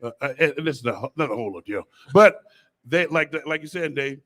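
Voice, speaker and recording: A man's voice: medium pitch, expressive, fairly quick, fairly bright tone. A man on a sports talk panel chatting casually about football, making his points insistently.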